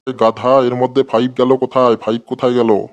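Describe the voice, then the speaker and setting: A man's voice: medium pitch, little pitch movement, fairly quick, lo-fi sound, lightly acted. A man as an exasperated scolding cartoon schoolteacher. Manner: scolding, colloquial, sarcastic. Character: exasperated scolding male schoolteacher, comically threatening. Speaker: cartoon voice actor. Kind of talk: comedy cartoon dialogue.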